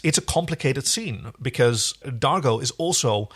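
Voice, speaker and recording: A man's voice: medium pitch, animated, unhurried, a bit bright, slightly rough. A man co-hosting a podcast, giving casual, analytical and enthusiastic commentary on a television show. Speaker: podcast co-host.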